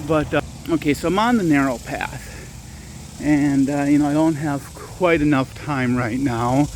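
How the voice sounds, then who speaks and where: A man's voice: a little high, measured pace, a little mellow, slight background noise, low-quality recording. A man hosting his own channel, talking casually while walking outdoors at dusk, rambling with frequent restarts and self-corrections. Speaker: channel host and storyteller. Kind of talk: casual walking vlog talk.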